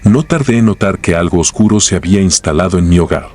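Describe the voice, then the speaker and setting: A man narrating horror stories in a grave, measured, scripted way. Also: low voice, darkish tone.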